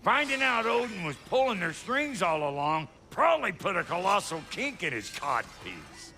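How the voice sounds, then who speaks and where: A gravelly, wildly animated brash dwarf. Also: male voice, sits high, moderate pace, faint music behind, exaggerated voice. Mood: crude amusement. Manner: brash, colloquial. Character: crude, cocky, folksy dwarf craftsman. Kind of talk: animated video game acting.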